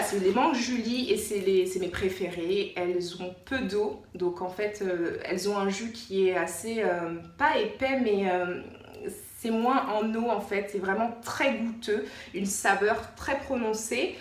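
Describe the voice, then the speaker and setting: A woman from France with a French accent, chatting casually as a vlogger, informal and repeating words for emphasis. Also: medium pitch. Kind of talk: casual chatty show-and-tell vlog. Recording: roomy echo, distant mic, faint noise.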